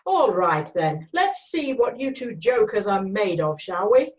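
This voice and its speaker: A woman playing a confident, brisk male cavalry major in an amateur screenplay read-through. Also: mid-range voice, sing-song, dull audio. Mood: cocky teasing.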